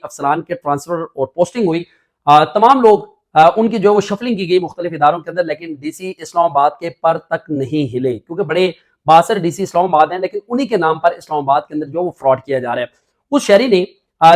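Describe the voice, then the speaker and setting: A Pakistani man reports the news in a somewhat high voice. His delivery is emphatic commentary, spoken off the cuff with recurring filler phrases.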